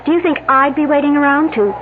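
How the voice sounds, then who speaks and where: An actress playing a sharp-tongued, suspicious young female student in a radio mystery drama, cutting and sarcastic. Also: high voice, fast talker, close to an everyday voice. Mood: bitter, cutting. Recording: tinny, faintly noisy old radio.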